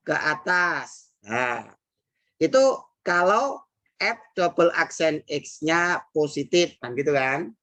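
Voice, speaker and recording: A woman's voice: on the low side, a bit bright. A woman teaching a math lesson with an Indonesian accent, working through problems patiently and repeating key phrases, with frequent "nah" and "hmm". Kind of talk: recorded classroom math lesson.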